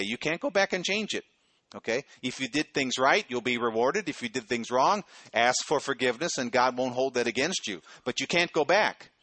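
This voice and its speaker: A man's voice: mid-range voice, bright, forceful tone, dry recording, low-quality recording. A middle-aged man preaching a sermon in a conversational way, often checking in with his listeners. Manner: conversational preaching, frequent "okay?" check-ins. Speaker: pastor.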